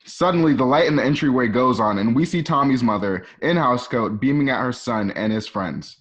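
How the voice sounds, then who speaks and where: A man's voice: mid-range voice, brisk. A man narrating an amateur radio play, reading out screenplay stage directions. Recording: dull lo-fi home recording.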